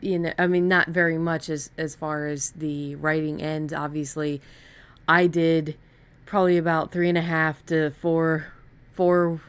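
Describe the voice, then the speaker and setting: A woman's voice: sits low, level tone, measured pace, clear, resonant tone. A woman chatting casually and conversationally as the host of a podcast.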